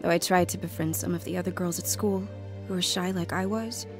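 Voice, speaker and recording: A woman's voice: lowish voice, steady tone, natural voice. A woman playing a grown woman who reflectively narrates her lonely childhood in an audio drama. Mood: wistful, reflective. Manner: reflective, measured storytelling. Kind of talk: scripted first-person drama narration. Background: music under the voice.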